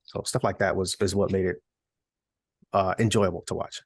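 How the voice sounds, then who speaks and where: A man's voice: low voice, little pitch movement, moderate pace, breathy edge, dry recording, clean studio sound. A man co-hosting a podcast, explaining his views informally with hypothetical asides. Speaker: podcast co-host. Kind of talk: casual explanatory chat.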